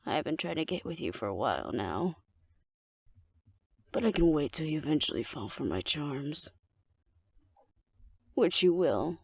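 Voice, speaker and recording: A woman's voice: low voice, sing-song, unhurried, vocal fry, long pauses, husky, no echo, studio quality, full character voice. A woman playing a cocky, flirtatious female alpha werewolf in an intimate audio roleplay, speaking to the listener in a wry, teasing, confident way.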